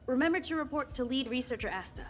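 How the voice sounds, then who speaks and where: A woman's voice: sits high, lively intonation, quick pace, slight background noise. An actress playing a busy, apologetic, kind young woman working on a space station in a video game, speaking earnestly and politely. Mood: flustered, apologetic. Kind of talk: scripted video game dialogue.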